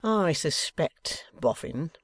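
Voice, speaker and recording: A man acts out a sly Victorian bully for an audiobook. The rogue sneers and blusters with impudent contempt, in rough colloquial phrases. The recording is dry and close. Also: on the high side, expressive, takes it slowly, no room sound, voice pushed up. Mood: sneering. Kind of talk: dramatised audiobook character voice.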